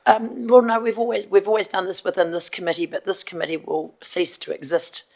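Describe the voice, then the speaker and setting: A New woman chairing a council committee meeting, hoarse and procedural, with many ums and repeated words. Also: mid-range voice, fairly even intonation, a little fast, a little mellow.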